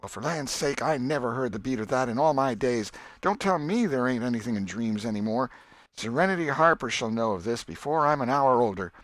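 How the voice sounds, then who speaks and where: Man playing an excitable old Southern country aunt. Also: medium pitch, fairly quick, no room sound, voice pushed up, close to an everyday voice. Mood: amazed, eager. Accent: rural American South. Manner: exclamatory, folksy, emphatic. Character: excitable, pious, scolding old country aunt, gullible and affectionate. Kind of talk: audiobook character voice.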